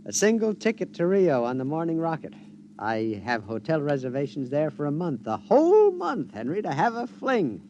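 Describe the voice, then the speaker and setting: Henpecked husband, 1950s radio play: excitable, giggly, swooping pitch, mellow tone. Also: male voice, normal speed, slight background noise. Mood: gleeful. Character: henpecked husband, gleeful schemer, male, middle-aged. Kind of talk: 1950s radio drama acting.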